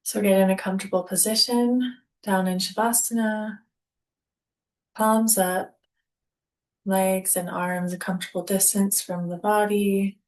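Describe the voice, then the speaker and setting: A woman leading a guided yoga nidra relaxation as instructor, speaking calmly in short, deliberate phrases with pauses. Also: mid-range voice.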